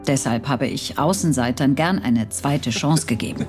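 Low wistful older woman, over music. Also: normal speed, darkish tone. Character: 61-year-old murdered woman narrating her life from beyond death. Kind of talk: scripted dramatized first-person narration. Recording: clear music bed behind the voice.

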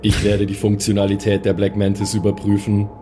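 A man's voice: on the low side, completely flat delivery, brisk, mellow, faint music behind, close to an everyday voice. A man voicing a cold, literal-minded killer robot in an improvised audio drama, with clipped, formal, emotionless phrasing.

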